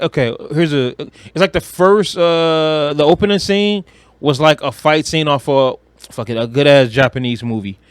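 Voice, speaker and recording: A man's voice: fairly high pitch, clear, resonant tone, dry recording. A man chatting casually and emphatically about movies on a podcast, with slang, swearing and repeated phrases. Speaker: podcast co-host.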